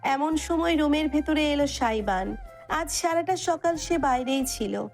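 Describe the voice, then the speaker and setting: High voiced lady reading out a love story, bit of music behind. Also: animated, a little slow. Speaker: audio story narrator. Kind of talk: scripted narration of a romantic story. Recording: music under the voice. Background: background music.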